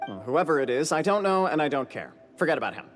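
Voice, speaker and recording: A pompous, bossy fantasy game creature with a fairly high voice and a poet's airs. It echoes in a reverberant space over clear music. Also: male voice, sing-song, fast talker, fairly bright tone. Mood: snippy, dismissive. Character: bossy, pompous clan leader creature, self-styled poet. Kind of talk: video game voice acting. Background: prominent background music.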